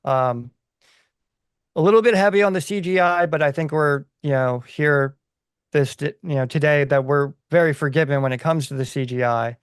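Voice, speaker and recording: A man with a fairly high-set voice discusses things casually on a podcast. He explains conversationally, with halting phrasing. The sound is dry, with very little room in it. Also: clear, resonant tone, dry recording. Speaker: podcast co-host.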